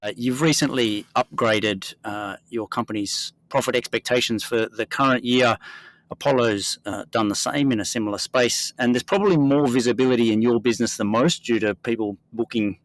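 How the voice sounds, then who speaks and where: A man from Australia, hosting an investing podcast and explaining in a measured conversational way. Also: medium pitch, rough recording. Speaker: podcast host.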